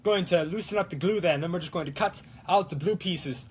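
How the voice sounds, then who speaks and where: A man doing a high, excitable cartoon Italian guy. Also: fairly quick, a little hiss or hum, lightly acted. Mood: playful, explaining. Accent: mock Italian. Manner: exclamatory, playful, sing-song broken English. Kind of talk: live-streamed prop-making vlog in a put-on accent.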